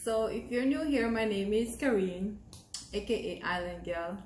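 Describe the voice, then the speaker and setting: A woman's voice: medium pitch, moderate pace, a little mellow, roomy sound, a little hiss or hum, poor audio. A young Jamaican woman with a Jamaican accent, talking to camera in an earnest, encouraging way that repeats phrases for emphasis. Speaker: vlogger. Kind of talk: casual talk to camera.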